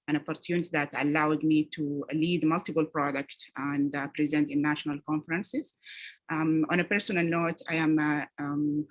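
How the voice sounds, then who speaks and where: A woman answers interview questions in a low, careful voice. She pauses often on ums and uhs as she describes her medical work. The audio comes over a video call. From Sudan. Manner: measured, hesitant, many ums and uhs. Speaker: physician interviewee. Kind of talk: practice job interview.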